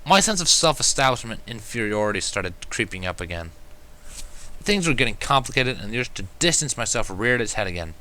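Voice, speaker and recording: A man's voice: mid-range voice, very animated, a little fast, bright, forceful tone, poor audio. A man reading a first-person fan fiction story aloud as scripted narration.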